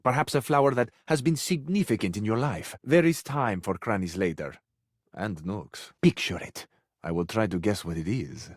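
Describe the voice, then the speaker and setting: An actor playing a flowery, sensual, effusive Italian suitor who flirts overzealously in a video game, with an Italian accent. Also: male voice, on the low side, normal speed, no room sound, clean studio sound, natural voice. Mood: seductive, tender.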